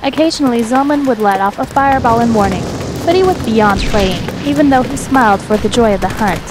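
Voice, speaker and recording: A woman's voice: fairly high pitch, brisk, background noise. A woman reading scripted fan fiction as the narrator.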